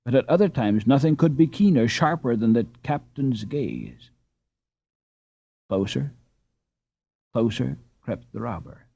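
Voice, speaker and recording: A man narrating an audiobook adventure in a suspenseful, expressive, dramatic storytelling style. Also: medium pitch, unhurried, vocal fry, long pauses, breathy edge, dry recording.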